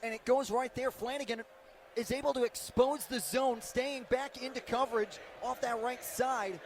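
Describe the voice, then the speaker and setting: A man's voice: very high-pitched, steady tone, normal speed. A man working as a radio color commentator, analysing football plays live in loose, conversational sentences. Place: football stadium broadcast position. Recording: faint stadium background noise.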